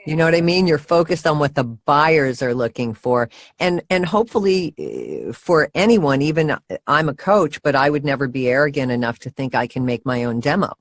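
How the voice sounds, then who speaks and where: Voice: medium pitch, animated, normal speed. A voiceover coach giving casual, earnest advice, leaning on key words for emphasis.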